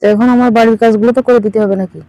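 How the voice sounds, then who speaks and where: A woman's voice: fairly high pitch, steady tone, rapid-fire, mellow, no echo, natural voice. A woman with a Bangladeshi accent playing a teasing, demanding older aunt in an improvised phone-call drama. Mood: needling, matter-of-fact. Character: teasing, demanding older aunt who owns a fish farm.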